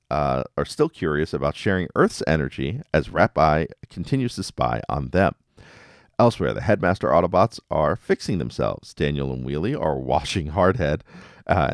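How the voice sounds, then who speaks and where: A man's voice: deep voice, no room sound, studio quality. A man hosting a podcast, giving a casual, wry and playful episode recap with dramatic emphasis. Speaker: podcast host.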